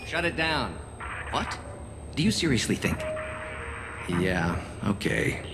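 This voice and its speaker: A man playing a polite, hesitant security guard in a video game, speaking in a halting, apologetic way. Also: on the low side, big pitch swings, background noise. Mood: puzzled, apologetic. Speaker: security sergeant character.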